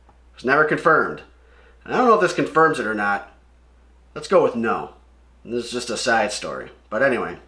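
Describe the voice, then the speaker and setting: Male read-along host, loose slangy commentary, mid-range pitch, roomy echoing recording. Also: sing-song, brisk, long pauses, pushed and bright. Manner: rambling, sweary, slangy asides.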